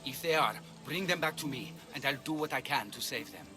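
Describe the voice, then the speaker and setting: A man acting as an ancient healer torn by his conscience. His delivery is earnest and measured. It is game drama with clear music behind the voice. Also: medium pitch, lightly acted. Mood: urgent, concerned. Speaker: video game character. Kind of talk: dramatic game cutscene dialogue.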